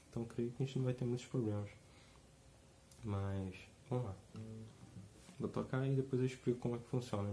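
A young man with a slight cold, giving a relaxed, rambling guitar lesson from a small room. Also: medium pitch, a little hiss or hum. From Brazil. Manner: rambling, relaxed, many fillers and restarts. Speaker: guitar teacher. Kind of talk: casual video lesson. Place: small room indoors, seated with an acoustic guitar.

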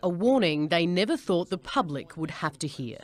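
Australian woman, thirties, TV correspondent, lowish pitch, live report and scripted read. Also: expressive, normal speed, background noise. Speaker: TV news foreign correspondent. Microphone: lapel mic.

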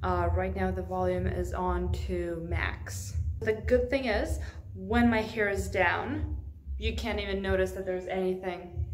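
A young adult woman gives an informal product review, thinking aloud as she talks to the camera. Her voice sits at a middle pitch. It was recorded at arm's length in a room, with clear noise behind her. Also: measured pace. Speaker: fitness vlogger.

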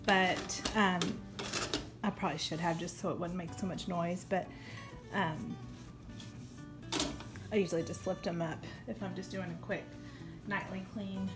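A woman's voice: on the low side, fairly even intonation, measured pace, pauses often. A young woman, chatting casually with many ums while she shows how she cleans her kitchen. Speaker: home cleaning vlogger. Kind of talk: casual talk over a cleaning demo. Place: home kitchen, indoors. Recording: music playing under the voice.

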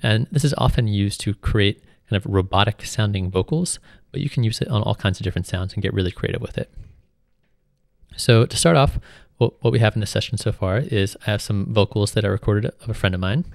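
A man giving an informal, step-by-step tutorial as a music software instructor. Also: on the low side, a little fast, creaky, dry recording, clean studio sound. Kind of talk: tutorial narration, explanatory.

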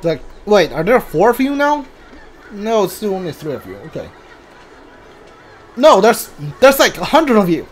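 A man's voice: sits high, sing-song, normal speed, long pauses. A man giving casual playthrough commentary as a gaming streamer, rambling as he thinks aloud. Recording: game music behind the voice.

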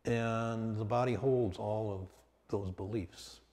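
A man guides a meditation in a mellow voice that rings through a long reverb. He speaks very slowly and calmly, setting out short phrases with long pauses between them. The delivery is unscripted and measured. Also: lowish voice. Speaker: meditation teacher. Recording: long reverberant hall sound.

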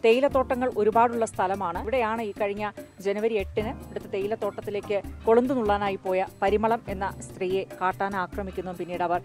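A woman's voice: medium pitch, steady tone, brisk, darkish tone, soft background music. A woman, reporting from the field into a handheld microphone. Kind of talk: news report to camera and field interviewing. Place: outdoors outside workers' houses.

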